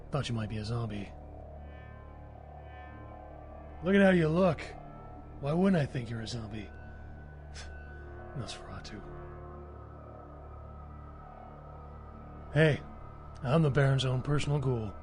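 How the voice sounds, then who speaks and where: A male actor as a cocky graveyard caretaker and hired gun in a horror game. He has a middling pitch and tells things in a breezy, swaggering, folksy way over faint music. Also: a little mellow, natural voice. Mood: startled bravado. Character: cocky, trigger-happy cemetery caretaker, a vampire's ghoul. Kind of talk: video game voice acting.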